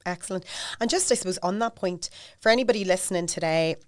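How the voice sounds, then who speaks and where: A woman hosting a podcast interview, asking measured questions with frequent ums and affirming her guest. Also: lowish voice, no room sound, studio quality. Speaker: podcast host.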